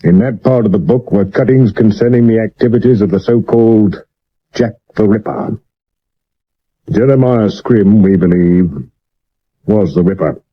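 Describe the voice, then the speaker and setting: A man acting a sober Victorian London police inspector with a British accent and a measured, deferential way of explaining, in a 1970s radio drama. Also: fairly low pitch. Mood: grave, explanatory. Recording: dull medium-wave radio recording.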